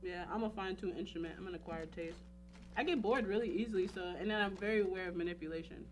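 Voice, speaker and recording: A woman's voice: mid-range voice, fast, faint music behind. A woman in casual, candid chat as a talk show guest, speaking emphatically and rambling with frequent check-in phrases. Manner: emphatic, rambling, repeats "you know what I'm saying". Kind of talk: casual candid chat about personal life.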